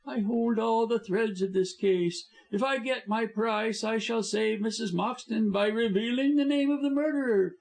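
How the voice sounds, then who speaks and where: A man's voice: high-pitched, lively intonation, normal speed, voice pushed up. A man reading an audiobook, voicing a scheming male lawyer who sneers and threatens. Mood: threatening.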